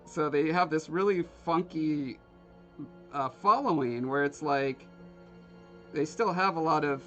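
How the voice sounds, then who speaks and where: A man's voice: a little high, a little slow, pushed and bright, highs cut off, soft background music. A man from Alaska hosting a livestream, chatting casually and joking with his viewers while he carves. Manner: rambling, joking with live chat. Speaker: livestream host. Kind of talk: casual chat while carving.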